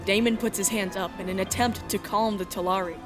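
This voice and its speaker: A woman's voice: medium pitch, fairly even intonation, fairly quick, close to an everyday voice. Earnest female princess lead, woman acting, mid-range first-person narration for a visual novel dub, music bed. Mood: narrating, measured. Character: earnest exiled princess heroine narrating her adventure. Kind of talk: scripted first-person narration with acted dialogue.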